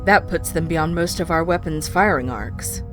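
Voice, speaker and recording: A woman's voice: deep voice, moderate pace, music in the background, close to an everyday voice. A woman playing a suspicious, blunt starship first officer in a science-fiction audio drama, urgent and wary. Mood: distrustful.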